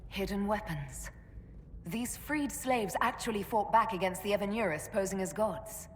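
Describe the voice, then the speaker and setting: An actress playing a composed, resolute female leader of a fantasy order in a video game, speaking in a measured, earnest way. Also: medium pitch, animated, close to an everyday voice. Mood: explaining. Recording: roomy echoing hall, faint background noise.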